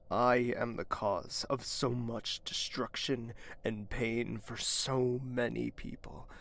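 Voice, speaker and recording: An actor playing a guilt-ridden young nobleman who prays to his goddess, going from hushed confession to anguished cries. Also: medium pitch, creaky, a little hiss or hum, heavily acted. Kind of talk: audio drama acting.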